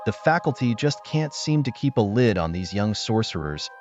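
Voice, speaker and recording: A man's voice: on the low side, sing-song, moderate pace, a little mellow. A man reading scripted, comic story narration for children over background music. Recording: muffled lo-fi audio, music underneath.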